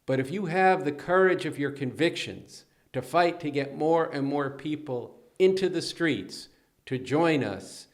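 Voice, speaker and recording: A man hosting a political talk show, speaking earnestly and emphatically. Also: medium pitch. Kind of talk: political commentary.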